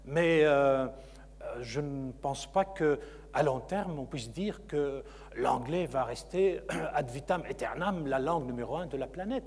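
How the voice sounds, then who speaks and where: A man's voice: mid-range voice, unhurried, a little mellow, breathy edge. A man who is a university lecturer, answering audience questions at a conference, hesitant with many fillers and repeated words. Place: conference hall. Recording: long reverb of a lecture hall.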